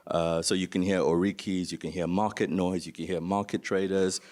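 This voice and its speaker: A man's voice: sits low, flat delivery, moderate pace, a little mellow. A man from Nigeria giving an informal panel talk as a museum founder, telling stories and asking rhetorical questions. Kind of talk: informal spoken presentation on a conference panel.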